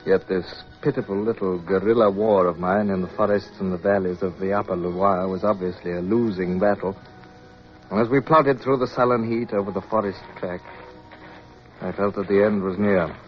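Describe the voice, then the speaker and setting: An actor with an English accent playing a weary, dutiful naval officer who narrates in formal, measured style in a radio drama. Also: male voice, low-pitched, steady tone, soft background music, natural voice. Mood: weary, reflective. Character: weary, dutiful British naval officer, resolute yet dispirited. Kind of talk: radio drama acting, first-person narration. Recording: dull, noisy vintage radio recording.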